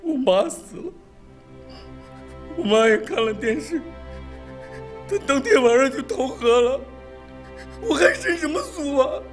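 A man's voice: extremely high pitch, big pitch swings, a little slow, long pauses, faint music behind, exaggerated voice. A man acting in a film drama as a middle-aged, wrongly jailed prisoner, distraught and broken by grief. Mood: grieving despair.

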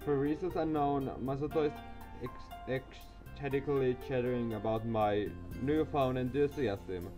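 A man's voice: medium pitch, normal speed, over music. A man reading a visual novel aloud on camera, casual and tripping over words. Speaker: gaming youtuber. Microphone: desk mic, webcam.

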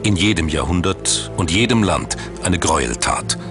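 A man narrating a scripted documentary in a measured, dramatic storytelling manner. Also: low voice, lively intonation, gravelly. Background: background music.

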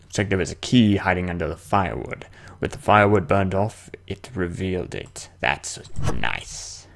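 A man's voice: low voice, animated, normal speed, vocal fry. A man giving casual, playful commentary while playing a horror video game, chatting and muttering to himself. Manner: playful, chatty, sings nonsense sounds to himself; mutters and reads on-screen notes aloud. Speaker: gamer, let's player. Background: game music.

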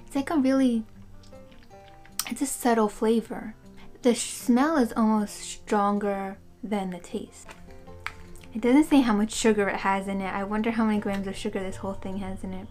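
A woman's voice: mid-range voice, fairly even intonation, normal speed, a little mellow, soft background music. A young woman chatting casually through a snack taste test, thinking aloud with lots of fillers, recorded on a webcam in a small room. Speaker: vlogger reviewing snacks. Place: small room, seated on a couch.

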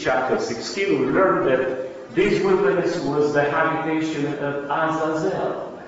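A man's voice: medium pitch, slight background noise. A man with a Romanian accent delivers an academic lecture, often posing a question and then answering it himself. He is picked up from a distance in a lecture room. The sound rings with long reverb and comes across muffled and dull. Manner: explanatory, asks and answers own questions. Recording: distant, echoey, dull lecture hall recording.

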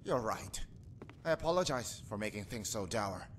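A man's voice: fairly low pitch, animated, normal speed, fairly bright tone, slight background noise. Folksy, darkly cheerful rustic father. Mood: sour. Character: jovial superstitious rustic husband and father, callous, cheerfully macabre. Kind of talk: video game character acting.

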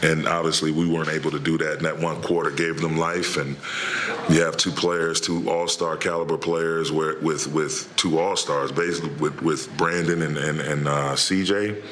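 Very deep, flat-voiced coach at a presser. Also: male voice, a little hiss or hum. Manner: halting, many restarts and fillers. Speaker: head coach. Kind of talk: postgame press conference answers. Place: press room in front of a logo backdrop. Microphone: table microphone.

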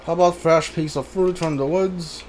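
Casual streamer talking thru a game, reads the text boxes out loud, mutters asides, bit of game noise behind. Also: mid-range voice, fairly even intonation, moderate pace. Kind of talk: casual gameplay commentary, reading on-screen dialogue aloud. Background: video game ambience.

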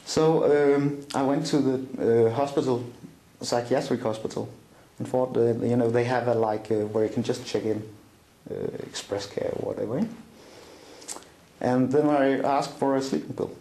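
A man's voice: medium pitch, moderate pace, dark tone, reverberant. A middle-aged man with a Danish accent recounting a personal story in an interview, with many fillers and restarts. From Denmark. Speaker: interview guest. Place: small room indoors, seated at a table.

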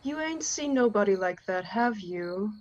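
A laconic attendant out in lonely country, played by a woman for a radio play. The talk is folksy and unhurried, at a middling pitch, on a slightly dull recording. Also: lively intonation, natural voice. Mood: laconic, musing. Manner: folksy, unhurried, plain-spoken. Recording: slightly muffled online recording.

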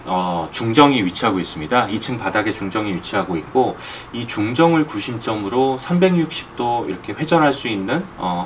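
A middle-aged man with a fairly low voice gives an explanatory talk as an architect. He speaks in a measured way with thoughtful pauses, recorded indoors with some background noise. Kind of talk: explanatory presentation of drawings. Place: indoor office beside a presentation screen. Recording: clear background room noise.

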